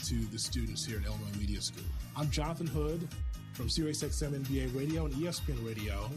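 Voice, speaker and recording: A middle-aged man gives a promotional testimonial in a medium-pitched voice. Loud guitar music runs behind him. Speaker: radio broadcaster and instructor.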